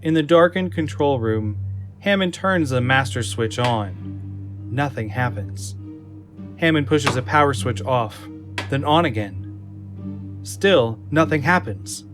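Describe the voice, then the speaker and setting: A male narrator describes scenes from a script in a middle-pitched voice. Faint music plays beneath him, as in an audio drama. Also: lively intonation, slow.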